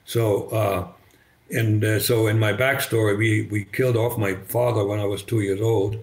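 An older man with a slight German accent, German native, telling his story as a podcast guest over a video call, halting with many restarts. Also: lowish voice, fairly even intonation. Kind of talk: conversational storytelling. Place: bright living room, indoors. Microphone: video call webcam.

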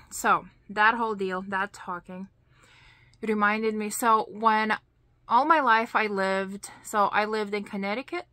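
Woman, Lithuanian accent, thirties, unhurried chatty vlog talk, bright tone, dry close sound. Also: medium pitch, dry recording. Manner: chatty, slow and deliberate, with frequent "you know" and "like". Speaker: vlogger. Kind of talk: casual storytelling to camera. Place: small indoor craft room.

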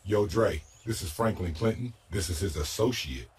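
A man playing a gruff, wary music-business fixer in a video game, with a husky voice and blunt, slangy talk. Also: low voice, normal speed, slight background noise, lightly acted. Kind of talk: video game cutscene acting. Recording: distant mic.

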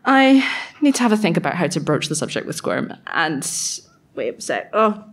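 A woman's voice: lowish voice, very animated, moderate pace. A woman playing an anxious young apprentice enchanter, talking through a diary entry in a chatty, sweary way in a live audio drama. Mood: flustered. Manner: chatty, self-interrupting, sweary. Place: live on stage at a venue.

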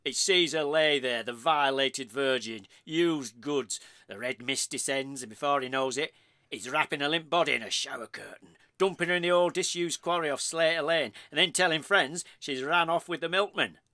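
Morbid, gossipy British husband, comic radio play. Theatrical and forceful, very dry close recording. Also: male voice, a little high, normal speed, dry recording. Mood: gleeful, morbid. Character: excitable morbid husband spinning lurid murder theories.

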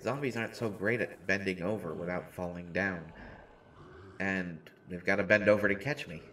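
A man's voice: low voice, pushed and bright, a little hiss or hum. A man voicing a short teenage boy who jokes nervously and pokes fun at himself in a listener-directed audio roleplay. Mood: joking. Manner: rambling, self-mocking, nervous humor. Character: short teenage boy, joking and self-deprecating.

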